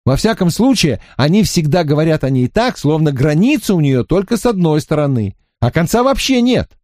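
A man's voice: a little high, big pitch swings, moderate pace, darkish tone, dry recording, studio quality. A man voicing an eager, talkative young male wizard student who speaks with earnest fervor in an audiobook reading. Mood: musing. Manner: earnest, fervent, slightly mocking.

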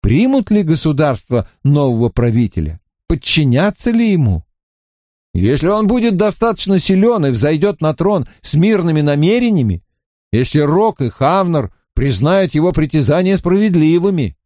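A dreamy young prince, calm and thoughtful, reciting his words slowly and evenly, as a male narrator puts him on. His voice is warm and mellow, set a little high, and it sits close and dry on the mic. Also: no room sound. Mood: measured. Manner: slow, measured, dreamy.

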